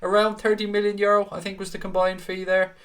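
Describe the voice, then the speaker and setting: A man's voice: sits high, monotone, quick pace. A young Irish man hosting a casual live stream, chatting with viewers in an upbeat, talkative way with the odd swear. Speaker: host.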